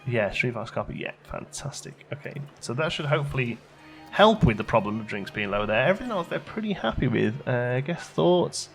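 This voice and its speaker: A man's voice: medium pitch, sing-song, brisk, creaky, soft background music. A man giving casual let's play commentary, chatty and thinking aloud as he plays. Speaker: gaming channel host.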